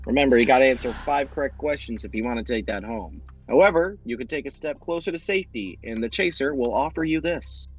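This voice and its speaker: A man's voice: mid-range voice, fast, few pauses, husky. A man hosting a quiz show, addressing contestants directly in a presenter's delivery. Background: background music.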